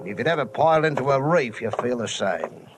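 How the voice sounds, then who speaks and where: A man's voice: mid-range voice, expressive, a little fast, natural voice. An actor playing a wry, talkative old harbor boatman with folksy nautical slang in old-time radio drama style. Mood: wry, salty. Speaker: water taxi boatman. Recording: noisy vintage radio drama recording.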